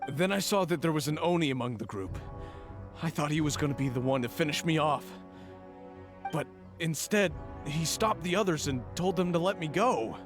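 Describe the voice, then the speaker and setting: A jittery merchant voiced by an actor, speaking in a slightly high voice that still sounds rattled and on edge. He rambles on nervously, and game music plays clearly behind him. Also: lively intonation, moderate pace, lightly acted. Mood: shaken. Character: jittery middle-aged merchant man, nervous. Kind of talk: video game voice acting.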